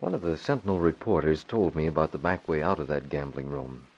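An actor playing a confident young publisher and secret crime-fighter, calm and commanding, in old-time radio drama style. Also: male voice, very deep voice, fairly quick, slightly rough, full character voice. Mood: calm, determined. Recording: muffled old radio broadcast.

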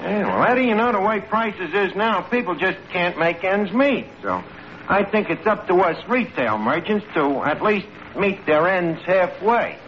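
An actor playing a self-assured, scheming working-class tavern manager with a New York accent and mangled folksy grammar in an old radio comedy. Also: male voice, high-pitched, very animated, a little slow. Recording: muffled, noisy vintage radio recording.

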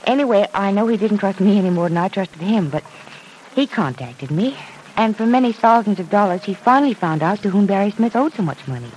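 A woman's voice: mid-range voice, animated, a little fast, darkish tone, a little hiss or hum, natural voice, low-quality recording. Penny, a woman acting the earnest heroine. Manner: earnest, explanatory storytelling. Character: Penny Gibbs, a kind, well-off young woman. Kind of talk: 1940s radio drama acting.

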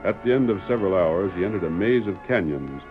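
A man's voice: low voice, lively intonation, quick pace. A man narrating a vintage radio western as a scripted, dramatic storyteller. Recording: dull vintage radio recording. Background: music.

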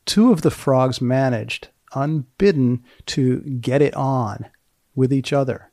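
A man hosting and narrating scripted science material in a measured way, pausing to explain terms. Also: mid-range voice, warm tone, no room sound, clean studio sound. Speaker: host and presenter.

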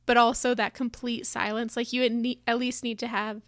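A soft-spoken woman co-hosting a podcast, talking thoughtfully in casual chat. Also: on the high side, flat delivery, brisk, no room sound, studio quality. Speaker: podcast co-host.

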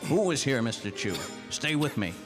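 An actor playing a world-weary, hardboiled American detective in a 1940s-style noir radio drama, terse and slangy. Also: male voice, mid-range voice, sing-song, moderate pace, lightly acted. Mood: urgent. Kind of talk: 1940s radio noir acting, narration and dialogue. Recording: music bed under radio drama.

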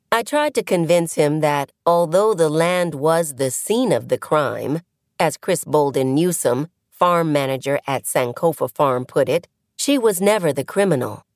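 Female audiobook reader, low register, measured phrasing, close dry sound, scripted essay narration. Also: no room sound. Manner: measured, deliberate phrasing with pauses.

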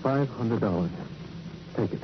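Mournful poetic beggar, dull old radio. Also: male voice, fairly low pitch, lively intonation, background noise. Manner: halting, fragmentary, brooding phrases. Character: destitute Skid Row beggar, poetic and mournful. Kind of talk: 1950s radio crime drama acting. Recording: old radio drama recording, dull, music behind.

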